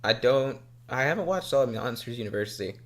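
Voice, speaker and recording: A young man co-hosting a casual podcast chat, joking and chatty with quick asides. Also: mid-range voice, expressive. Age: young adult. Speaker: podcast co-host.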